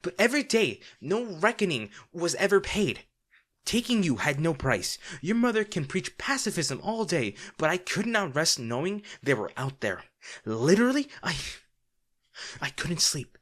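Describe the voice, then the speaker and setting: A man playing a devoted, remorseful bodyguard to a noble lady in a fantasy audio drama, speaking in a formal, halting, earnest way. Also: high voice, very animated, a bit bright, heavily acted. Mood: impassioned, protective.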